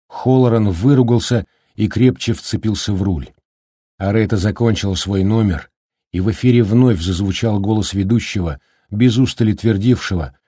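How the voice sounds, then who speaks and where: A man narrating an audiobook in a low, dark voice with a slight husky grain. His delivery is even and measured, read into a close studio mic with a dry, dead sound. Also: no echo. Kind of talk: scripted narration.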